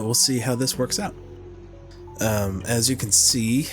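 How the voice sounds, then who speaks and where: A man with a cold-stuffed, nasal voice talks through his gameplay at a slow, unhurried pace, thinking out loud. His voice sits fairly low, and music plays clearly behind him. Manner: rambling, thinking aloud, many fillers. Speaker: gaming channel host. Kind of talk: casual gameplay commentary.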